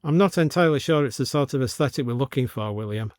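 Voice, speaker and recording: A man's voice: medium pitch, expressive, fast, a little mellow, no room sound, clean studio sound, lightly acted. A man voicing a mild, tactful antique shop owner, polite and understated, in an audio story. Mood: politely doubtful.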